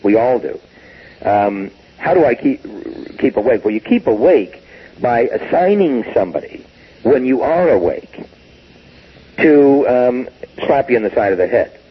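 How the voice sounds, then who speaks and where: Guy in his 60s, real husky, voice jumps all over, sounds like an old cassette tape. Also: mid-range voice, measured pace, highs cut off. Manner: emphatic, animated storytelling with acted quotes. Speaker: business guest expert. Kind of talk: recorded business interview.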